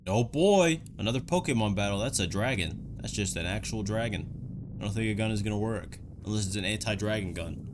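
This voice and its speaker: A man's voice: fairly low pitch, lively intonation, fairly quick, noisy background. A man giving casual, conversational reaction commentary on game footage, with dryly joking asides. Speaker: host of a video reaction and analysis show. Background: background music.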